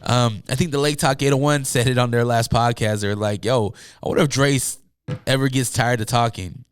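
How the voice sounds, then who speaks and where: A young man with a hoarse, tired voice hosting a casual solo podcast, rambling loosely with many fillers and asides from a room at home. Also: medium pitch, steady tone, moderate pace, no room sound. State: hoarse, losing his voice. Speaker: podcast host.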